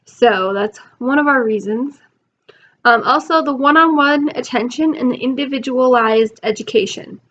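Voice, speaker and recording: Young woman, homeschool vlogger, higher voice, recovering from a cold, chatty and filler-heavy; laptop mic, muffled lo-fi audio. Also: moderate pace, fairly bright tone. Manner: chatty, lots of ums, rambling. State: recovering from illness, losing her voice. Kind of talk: casual talk to camera.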